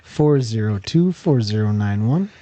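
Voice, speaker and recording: Host: mellow, warm-toned man, halting delivery with frequent uhs. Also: on the low side, animated. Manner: hesitant, many uhs and restarts. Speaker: podcast host. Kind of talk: casual chat, running the intro.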